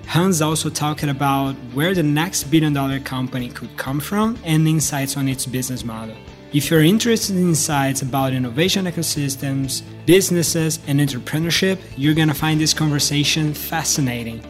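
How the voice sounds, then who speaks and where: A man's voice: on the high side, normal speed, faint music behind. A young man from Brazil co-hosting a podcast, reading a prepared introduction and interview questions.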